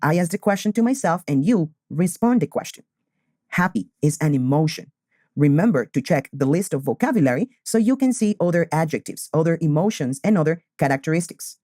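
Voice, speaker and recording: A man, teaching beginner English and repeating key phrases slowly. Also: on the high side, animated, darkish tone, no room sound, clean studio sound. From Colombia. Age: 20s.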